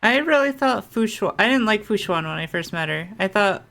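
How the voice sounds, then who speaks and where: A woman's voice: medium pitch, animated, moderate pace, gravelly, a bit bright. A young adult woman giving casual gameplay commentary, rambling and thinking aloud in an informal way. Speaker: gaming streamer. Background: faint game music.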